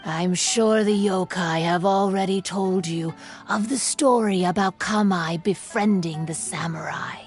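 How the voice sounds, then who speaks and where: A woman's voice: on the low side, over music. A woman voicing a wise, sorrowful female yokai spirit, telling a tale solemnly in a game cutscene. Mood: grave. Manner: slow, solemn, melancholy telling.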